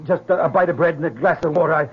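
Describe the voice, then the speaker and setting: An actor playing a desperate Southern fugitive on the run, urgent, earnest and stammering, in an old-time radio drama. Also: male voice, fairly high pitch, rapid-fire, breathy edge. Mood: anxious, hurried. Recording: noisy, dull old radio recording.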